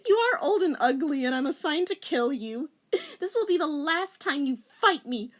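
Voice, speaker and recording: A woman's voice: high-pitched, expressive, normal speed, voice pushed up. A woman voicing a young female warrior assassin from an anime fan fiction, theatrical and overwrought. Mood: defiant, taunting.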